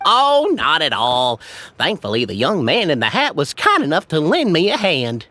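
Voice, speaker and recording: A woman's voice: sits low, wildly swooping pitch, moderate pace, fairly bright tone, exaggerated voice. A woman voicing a loyal male attendant in a fantasy video game, formal, deferential, earnest and theatrical. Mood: polite, informative. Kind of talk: animated video game character acting.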